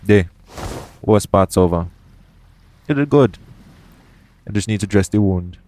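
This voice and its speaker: A man playing a roguish, caring pirate captain in an intimate audio roleplay, speaking in a soothing, gently teasing manner. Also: on the low side, unhurried, a little hiss or hum, natural voice. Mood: soothing, reassuring.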